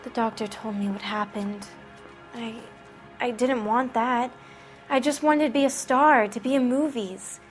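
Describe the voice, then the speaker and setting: A woman's voice: a little high, unhurried, faint music behind, lightly acted. An actress voicing a naive, frightened teenage girl who speaks in a timid, pleading way.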